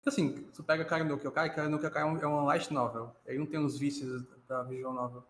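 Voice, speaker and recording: A man chats casually as a co-host, talking fast in a slightly high register. His voice sounds echoey and roomy. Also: level tone. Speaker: co-host reacting to a video. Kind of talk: casual chat. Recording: roomy, echoey pickup with faint noise.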